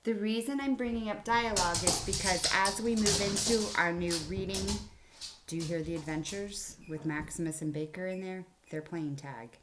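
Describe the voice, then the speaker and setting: A woman's voice: on the low side, normal speed, heavy background noise. A woman teacher giving a children's writing lesson in simple, explanatory phrases. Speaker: elementary school teacher. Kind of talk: read-aloud writing mini-lesson for children.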